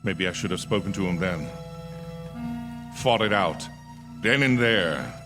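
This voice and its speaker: An actor playing a desperate young male motorist unravelling with dread, in halting, theatrical radio suspense style. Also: low voice, lively intonation. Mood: haunted, despairing. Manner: intense, halting, stammering. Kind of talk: theatrical radio suspense drama acting and narration. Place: theatre stage. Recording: live theatre stage, long hall reverb, music.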